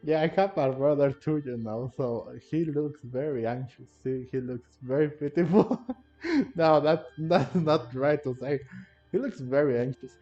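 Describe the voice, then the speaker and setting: Male let's player: highish but mellow voice, rambling casual commentary, dull lo-fi mic. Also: measured pace. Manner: rambling, repeats himself. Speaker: gaming video creator. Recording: dull, lo-fi microphone. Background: game music.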